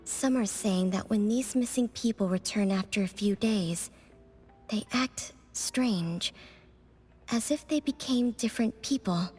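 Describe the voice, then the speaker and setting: A woman playing an enigmatic fortune-telling witch in a video game, speaking in a cryptic, deliberate, oracular manner. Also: mid-range voice, darkish tone, slightly rough. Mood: solemn warning. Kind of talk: scripted video game voice acting. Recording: music behind the voice.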